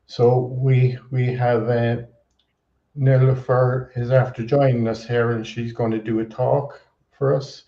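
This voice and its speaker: A man's voice: mid-range voice, little pitch movement, a little slow, vocal fry. A man hosting an online show, easygoing and repeating himself as he guides a guest. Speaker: show host. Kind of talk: casual online show chat. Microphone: computer mic on video call. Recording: roomy, dull lo-fi call audio.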